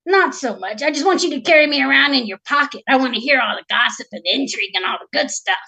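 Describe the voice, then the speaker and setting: A woman's voice: high voice, expressive, fast, vocal fry, sharp tone, heavily acted, rough recording. A woman voicing a cocky male talking frog in a fairy tale, cajoling with brash, streetwise patter. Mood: wheedling. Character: cocky, wheedling male talking frog, sly sweet-talking bargainer. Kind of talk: live storytelling of a fairy tale.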